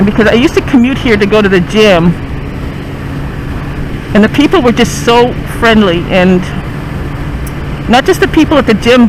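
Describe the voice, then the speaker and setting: A man's voice: high voice, background noise. A man originally from the Northeast US with a mixed American, partly Texan accent, rambling easily with many fillers as he narrates a motorcycle ride on country roads. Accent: mixed American, part Texan. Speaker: motorcycle vlogger. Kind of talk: casual narration while riding.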